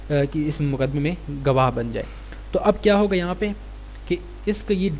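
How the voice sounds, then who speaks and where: A man's voice: fairly high pitch, a little mellow, low-quality recording. A man with a Pakistani accent giving an explanatory law lecture, reading statutes and explaining them. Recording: clear background noise with hum.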